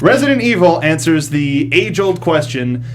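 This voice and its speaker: A man's voice: on the high side, big pitch swings, normal speed, slight background noise. A man hosting a casual panel talk show in a jokey, self-correcting manner. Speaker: host. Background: music.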